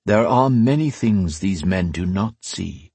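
A grave old priest with a low, sad voice, as a man puts him on, speaking slowly and gently. The tone is husky and soft, recorded close and dry. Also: animated, no room sound, heavily acted. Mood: solemn. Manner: low, sad, slow and solemn. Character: old priest, sorrowful and calm, ghostly.

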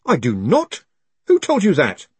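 A man voicing a quick-witted, glib postmaster and conman in an audiobook, forceful, persuasive and easily exasperated. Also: a little high, huge pitch swings, husky, no echo, pitched above the performer's own voice, exaggerated voice. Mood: exasperated, indignant.

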